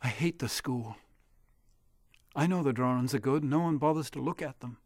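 A man's voice: mid-range voice, normal speed, dry recording, studio quality, lightly acted. A man voicing a hurt, earnest young schoolboy in a told story. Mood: bitter. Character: hurt young schoolboy, bitter then bold.

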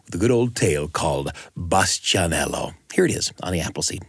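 A man's voice: deep voice, expressive, a little fast, loud music behind the voice. An American man hosting a radio show, moving between scripted introductions and friendly studio conversation.

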